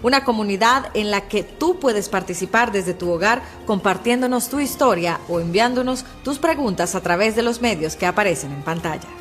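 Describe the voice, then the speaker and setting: A woman's voice: mid-range voice, expressive, normal speed, few pauses, a bit bright, faint music behind. A woman hosting a television program, delivering a scripted introduction to the audience at home. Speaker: TV program host. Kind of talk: scripted TV presentation.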